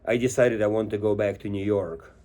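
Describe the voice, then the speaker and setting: A man's voice: on the low side, fairly even intonation, fairly quick, a little mellow. A middle-aged man from Moscow with a Russian accent, telling stories casually and informally as an interview guest. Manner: informal, tells anecdotes with quoted dialogue. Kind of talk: casual conversational storytelling.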